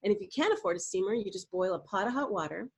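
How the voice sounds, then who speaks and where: A middle-aged woman, a voice coach, giving animated, explanatory answers in a podcast interview and sometimes demonstrating vocal exercises. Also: medium pitch, very fast, slightly rough.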